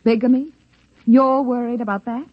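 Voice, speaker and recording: An actress playing an imperious, impatient company inspector, giving curt, officious orders in a radio drama. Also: female voice, fairly high pitch, steady tone, normal speed, dry recording, natural voice. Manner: curt, officious, clipped commands. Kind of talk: 1950s radio drama acting. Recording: dull 1950s radio recording.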